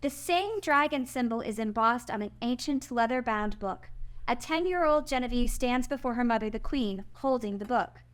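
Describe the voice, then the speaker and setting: A woman's voice: a little high, expressive, moderate pace. A woman reading out screenplay stage directions as the narrator at a table read.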